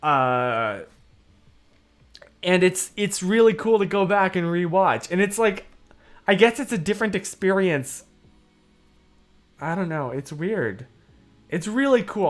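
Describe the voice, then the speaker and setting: A hoarse young man hosting a livestream, chatting casually and rambling off topic with easy laughs. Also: on the high side. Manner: rambling, loses his train of thought; laughs easily. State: hoarse, voice worn out. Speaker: livestream host. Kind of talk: casual livestream chat, answering comments.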